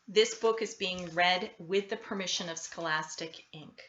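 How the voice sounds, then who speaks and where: A woman reading a children's picture book aloud in an expressive, deliberate storytelling style. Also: fairly low pitch, highs cut off, slight background noise. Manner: animated, deliberate storytelling delivery. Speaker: storybook reader.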